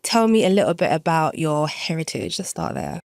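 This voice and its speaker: Host: woman, lowish voice, dry sound, welcoming, steers the chat. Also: very animated, fairly quick, gravelly, no room sound. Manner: warm welcoming, leads questions. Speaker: podcast co-host. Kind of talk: casual interview chat.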